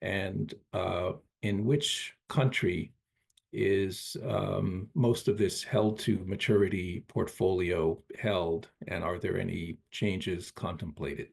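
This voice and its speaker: Low husky guy asking analyst questions on a conference call, slow and hesitant. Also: fairly even intonation, a little mellow. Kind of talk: prepared questions, spoken live.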